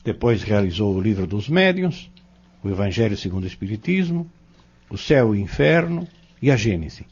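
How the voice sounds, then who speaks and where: A man's voice: on the low side, sing-song, normal speed, darkish tone, slightly rough, exaggerated voice. A man playing a learned, patient spiritist mentor in an audio novel, speaking formally and didactically with a Brazilian accent. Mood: earnest, explanatory. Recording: dull lo-fi audio drama recording.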